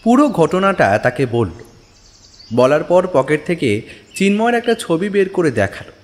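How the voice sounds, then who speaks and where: A man's voice: on the high side, very animated, moderate pace. A man narrating a scripted audio story in a dramatic storytelling style. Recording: long reverberant tail on voice. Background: music.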